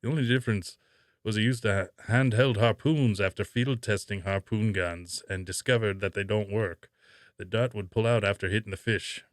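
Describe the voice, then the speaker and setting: A gruff old shark fisherman, as a man puts him on, speaking low and close in a dry space. He is blunt and emphatic, like a salty film character giving interview answers. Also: normal speed, no room sound, natural voice. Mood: matter-of-fact. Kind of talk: imitated movie-character voice reading interview answers.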